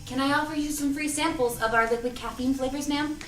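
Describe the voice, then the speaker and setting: A woman's voice: high-pitched, steady tone, brisk, a bit bright, distant mic. A woman playing a polite, uneasy young shop worker in an audio drama table read, courteous and hesitant. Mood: polite, firm. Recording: echoey room, off-mic, music behind.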